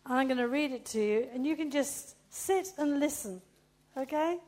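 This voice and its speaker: A woman preaching a chatty sermon, loud, reading a Bible story aloud. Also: high voice, moderate pace.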